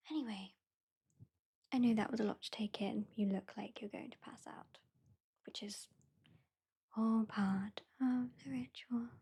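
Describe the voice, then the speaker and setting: Woman doing a british vampire hypnotist for asmr, soft n breathy, super slow, bit of an attitude. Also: mid-range voice, full character voice. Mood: hypnotic, commanding. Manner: slow, deliberate, lightly dismissive. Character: aloof, sardonic female vampire hypnotist. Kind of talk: whispered ASMR roleplay.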